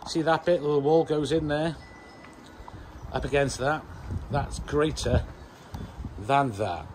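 A man's voice: a little high, slow pace, a little mellow, slight background noise. A Welsh man in his fifties, a landscaper explaining his patio work in a chatty, self-mocking way, recorded outdoors on a handheld phone. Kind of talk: casual how-to vlog. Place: outdoor garden beside a house. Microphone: handheld phone, later a plugged-in mic.

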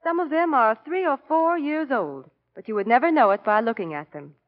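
A woman's voice: sits high, lively intonation, fairly quick. A woman spokesperson delivering a scripted 1940s radio commercial. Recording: dull 1940s radio broadcast.

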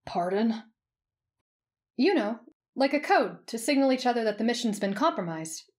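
A woman voicing an earnest, polite, easily flustered young man with a measured, formal manner in a narrated story. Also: fairly high pitch, animated, close to an everyday voice.

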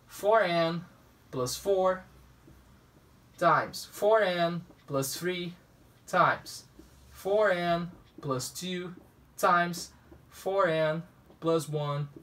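A man's voice: fairly high pitch, fairly bright tone, far from the mic. Young Brazilian man teaching math playfully. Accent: Brazilian Portuguese. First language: Portuguese. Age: young adult. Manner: playful, talks to himself. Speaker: math tutor. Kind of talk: whiteboard math lesson. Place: small room at a whiteboard. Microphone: camera mic at a distance.

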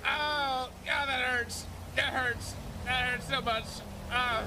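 Excitable male gamer playing a cop, very high voice, profane, noisy voice-chat mic. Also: slow. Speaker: player acting a police officer in an online roleplay game. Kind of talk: improvised in-character game roleplay chat. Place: online game voice chat.

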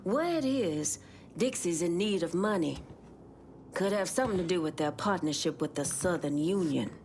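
An actress playing a composed, knowing Vodou shopkeeper who leads an underground resistance in a video game, speaking in a measured, conspiratorial way. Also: female voice, lowish voice, expressive, darkish tone, a little hiss or hum. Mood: sly, measured. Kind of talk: video game character dialogue.

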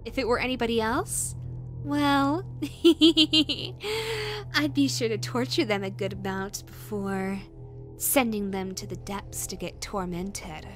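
Girl voice actor doing a creepy lovesick yandere woman for audio roleplay, really high cooing voice, slow, sweet but threatening. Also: animated, faint music behind. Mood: coldly casual. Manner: cooing, drawn-out, possessive, swinging from syrupy to threatening. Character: obsessive, sweetly menacing yandere woman in rabbit costume. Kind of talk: dramatic audio roleplay addressed to the listener.

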